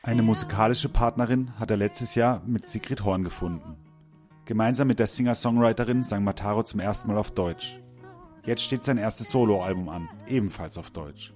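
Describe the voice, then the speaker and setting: Give me a male narrator with a low voice, reading a report steadily. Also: soft background music. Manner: even, measured reporting. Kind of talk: scripted narration.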